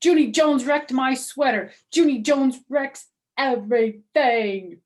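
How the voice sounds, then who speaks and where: A woman's voice: a little high, lively intonation, fairly quick, gravelly, voice pushed up. A woman voicing a bossy, whiny little girl in a storybook reading. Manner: whiny and loud.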